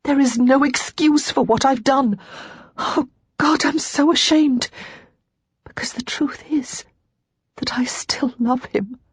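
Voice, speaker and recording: A woman narrator voicing Lara, a young widow who is ashamed, despairing and crying, in a husky voice that keeps dropping to a whisper. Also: mid-range voice, normal speed, a little mellow, no echo, pitched above the performer's own voice. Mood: despairing, tearful. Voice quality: husky, tearful, often whispering.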